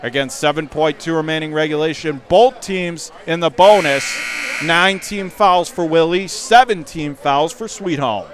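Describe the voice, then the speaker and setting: High-pitched man calling basketball play-by-play. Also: a little slow. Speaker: play-by-play sports announcer. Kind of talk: live high school basketball radio commentary. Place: inside a school gym during a game.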